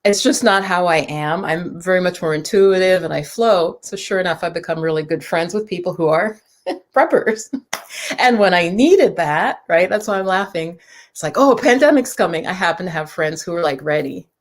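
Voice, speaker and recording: A woman's voice: lowish voice, moderate pace. A woman from New York hosting a casual live stream, reading viewers' comments aloud and chatting loosely with fillers and asides. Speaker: livestream host.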